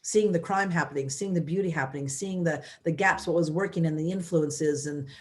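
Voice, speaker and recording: A woman in her fifties from the United States, a former mayor, telling her story in a loud, energetic way with many restarts. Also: low-pitched, a little fast. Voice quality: loud voice, big personality. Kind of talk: casual interview storytelling.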